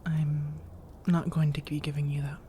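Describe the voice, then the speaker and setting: A woman playing a seductive, teasing vampire roommate in soft-spoken ASMR roleplay, coaxing and reassuring, often drifting into whispers. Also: sits low, little pitch movement, moderate pace, slight background noise, natural voice. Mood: flirty, teasing.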